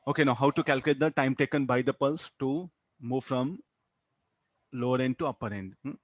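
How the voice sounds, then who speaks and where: A man with an Indian accent teaching physics, explaining step by step in a halting way, repeating words and tagging on "huh" and "okay". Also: mid-range voice, no echo. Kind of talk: classroom lesson, explaining a worked problem.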